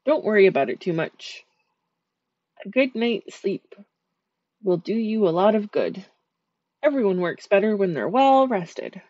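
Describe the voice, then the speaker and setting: A woman voicing a sweet, shy female dream eater spirit in a soft, gentle, soothing ASMR sleep-aid roleplay. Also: medium pitch, expressive, unhurried, pauses often, no room sound, highs cut off.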